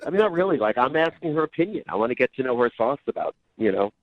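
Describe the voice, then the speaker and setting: A man's voice: medium pitch, big pitch swings, racing, a crowd in the background. A man calling into a radio show over a phone line, chatting casually in a defensive, hedging way. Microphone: phone call. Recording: dull, noisy phone-call line.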